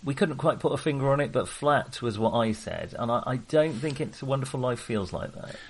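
A man's voice: medium pitch, lively intonation, a little fast, husky. A man co-hosting a casual film discussion, thinking aloud and often interrupting himself. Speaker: podcast co-host discussing films.

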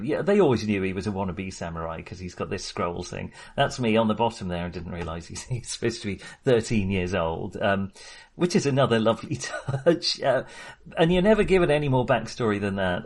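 A man's voice: on the low side, animated, a little fast, hardly any pauses. A man talking casually as a podcast host discussing films, enthusiastic but hesitant and rambling as he goes.

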